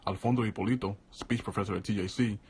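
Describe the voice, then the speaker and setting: A man narrating a scripted news package as a student reporter with a brisk read. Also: fairly low pitch, fairly even intonation, a little mellow, slightly rough.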